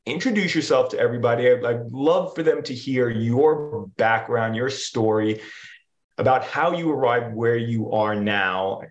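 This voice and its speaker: A man's voice: medium pitch, unhurried. Eager male host on a video call, roomy and muffled sound. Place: home office. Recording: echoey, dull video call audio.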